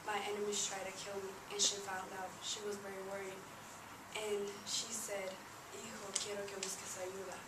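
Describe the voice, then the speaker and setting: A woman with a mid-pitched voice reads a personal story aloud with very little melody. Her delivery is halting, with stumbles and restarts. The recording is echoey, with heavy noise throughout. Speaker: narrator reading a first-person life story. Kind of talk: read-aloud personal narrative. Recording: noisy, echoey room, distant microphone.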